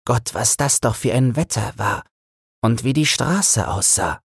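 A fond old wife played by a man reminisces in a bedtime storybook reading. The delivery is slow and smooth, lifted well above the reader's own pitch, and recorded dry. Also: expressive, no room sound, exaggerated voice. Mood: tender. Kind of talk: audiobook fairy-tale character reading.

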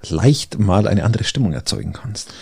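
A man hosting a podcast. His voice is very deep and gravelly, with a husky edge, and he keeps ribbing his co-host with ironic jokes. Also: sing-song, moderate pace, a little mellow. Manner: teasing, ironic. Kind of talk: casual chat.

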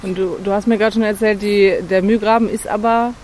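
A woman's voice: mid-range voice, little pitch movement, brisk, darkish tone, faint music behind. A woman interviewer chatting casually with her guest on a walk outdoors. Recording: outdoor recording, faint street ambience.

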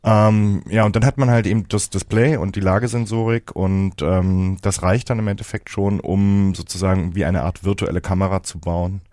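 Deep, mellow man explaining haltingly. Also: fairly even intonation, dry recording, studio quality. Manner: halting, methodical. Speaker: interview guest, app developer. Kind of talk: casual technical explanation.

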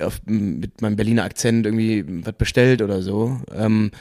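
A young man from Cologne, a guest actor in a relaxed podcast chat, talking loosely with lots of hesitant fillers and easy laughs. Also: lowish voice, no echo, clean studio sound. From Cologne, Germany. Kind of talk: casual podcast interview.

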